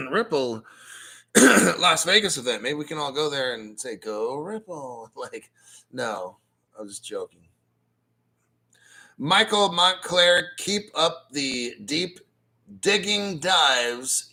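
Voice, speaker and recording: A man hosts a casual crypto livestream in a sharp, bright voice that sits a little high. He rants bluntly and with plenty of profanity, mocks rival channels, and keeps clearing his throat as he reads out viewer comments. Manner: reads viewer chat donations aloud.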